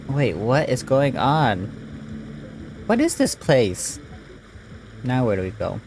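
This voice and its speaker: A man's voice: medium pitch, wildly swooping pitch, drawn-out words, long pauses, a little mellow, a little hiss or hum, poor audio. A man giving casual gameplay commentary, muttering his reactions as he plays. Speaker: gaming streamer.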